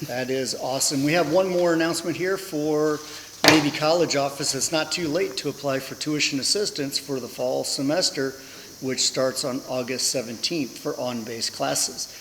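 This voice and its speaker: A man's voice: mid-range voice, moderate pace, in a large hall, background noise. A man co-hosting a military base show, reading announcements with upbeat, joking asides. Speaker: senior enlisted co-host.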